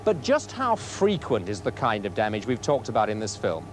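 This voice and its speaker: A British man presents an old training film in an articulate, questioning way. His intonation rises and falls in a lively manner. There is faint noise behind him on the soundtrack. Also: lowish voice, moderate pace. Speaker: presenter and interviewer. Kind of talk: scripted training film narration and interviewing.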